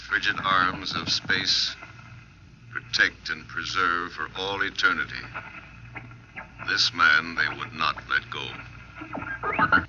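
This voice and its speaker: A man playing a solemn astronaut giving a grave, measured final report in a 1950s radio drama, on an old recording. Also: low-pitched, pushed and bright, heavily acted. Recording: noisy muffled old radio recording with hum.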